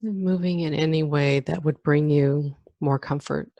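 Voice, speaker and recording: A woman guiding a meditation as a teacher, giving calm, gentle instructions with long pauses between phrases. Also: low-pitched, dry recording, highs cut off. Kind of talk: guided meditation.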